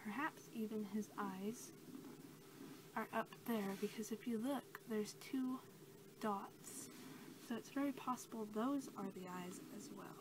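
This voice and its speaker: A young woman doing soft-spoken ASMR roleplay as a scientist, talking in a casual, rambling, hesitant way. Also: medium pitch, unhurried. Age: young adult. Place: small cluttered room indoors. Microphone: camera mic at about arm's length. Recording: clearly noisy amateur camera audio.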